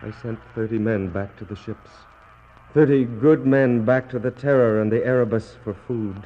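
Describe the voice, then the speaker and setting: A man acting a despairing British naval captain in a 1960s radio play, declaiming in anguished, bitter soliloquy that swings between hushed prayer and outcry. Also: medium pitch, fairly even intonation, moderate pace, lightly acted. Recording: dull, noisy 1960s radio recording.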